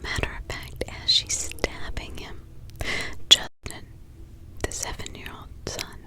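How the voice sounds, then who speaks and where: A woman who is a parent, whispering close to the mic as she retells a crime story, soft, halting and emotional. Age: adult parent. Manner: halting, with many restarts and pauses. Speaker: true crime ASMR storyteller.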